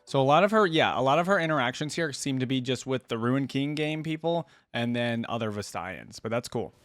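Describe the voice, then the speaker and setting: A man's voice: medium pitch, lively intonation, brisk, a bit bright, no room sound. Reaction host: man, medium register, close dry sound, casual musing with short interjections. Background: faint music.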